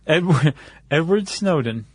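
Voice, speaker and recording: A man's voice: fairly high pitch, expressive, a little slow, slightly rough, no echo, studio quality. A man co-hosting a podcast, explaining things step by step in casual conversation. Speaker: podcast co-host.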